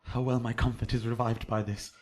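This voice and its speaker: A man's voice: mid-range voice, flat delivery, brisk, a little mellow, close to an everyday voice. An actor playing Romeo as a desperate, lovesick young man in a Shakespearean radio drama, theatrical and impassioned. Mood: relieved.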